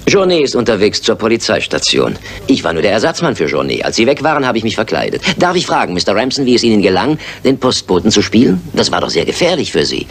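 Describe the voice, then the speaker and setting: An actor playing a shrewd, self-assured detective with a commanding, formal manner in a German radio crime drama. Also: male voice, lowish voice, wildly swooping pitch, fast. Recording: noisy old radio play recording.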